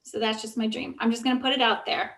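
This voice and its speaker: A woman with a fairly high voice that stays on an even, narrow line, speaking over a video call. She introduces herself to a committee in an eager, hopeful way. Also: fast. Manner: enthusiastic, hopeful. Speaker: school board member.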